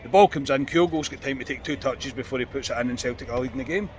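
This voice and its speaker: A Scottish man hosting a football podcast outdoors, talking in an animated, frustrated way. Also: mid-range voice, a little hiss or hum. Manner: animated, frustrated, quick restarts. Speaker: podcast host. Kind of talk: post-match fan reaction chat. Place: outdoors on a street.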